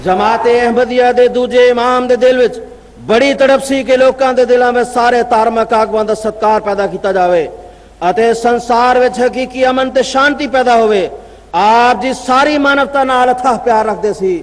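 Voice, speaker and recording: High-voiced Punjabi man preaching in a hall. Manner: declaiming with long pauses, reading out citations. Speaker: speaker at a religious convention. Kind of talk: formal religious speech. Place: convention gathering.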